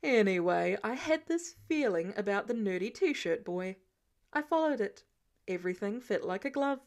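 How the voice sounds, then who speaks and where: A woman's voice: mid-range voice, animated, normal speed, darkish tone, lightly acted. A woman voicing a sardonic older male inventor who teases his young companion in an audiobook story. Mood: explaining, wry. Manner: dry, exasperated, teasing.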